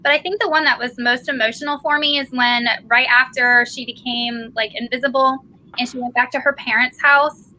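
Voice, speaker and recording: A woman's voice: high-pitched, steady tone, normal speed, sharp tone, a little hiss or hum, low-quality recording. A woman hosting a casual live book-club discussion, leading the group with questions.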